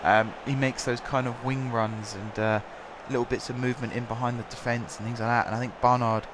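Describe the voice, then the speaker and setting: A man's voice: mid-range voice, level tone, brisk, background noise. A young man with a sore throat giving rambling, chatty commentary over a football management game. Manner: chatty, rambling, filler-heavy. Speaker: gaming commentator.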